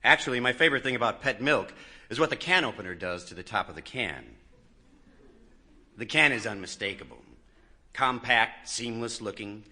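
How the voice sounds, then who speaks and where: A man reading a first-person literary short story expressively, swelling in volume and dropping softly. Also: medium pitch, normal speed. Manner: expressive, with strong swells and soft drops in volume.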